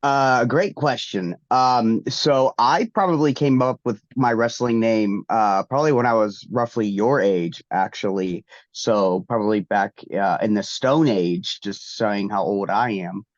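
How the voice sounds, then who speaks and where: A man's voice: on the low side, unhurried, few pauses, no echo. A man, congested from a recent flu. He rambles through his answers with plenty of ums and restarts. The sound is dull, lo-fi and dry. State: congested, getting over the flu. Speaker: guest wrestler. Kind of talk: casual interview answers.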